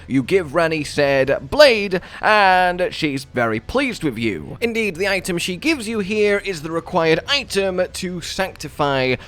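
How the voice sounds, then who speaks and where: A man's voice: a little high, a bit bright. A man narrating a scripted video game walkthrough as its host, with playfully florid, wordy phrasing.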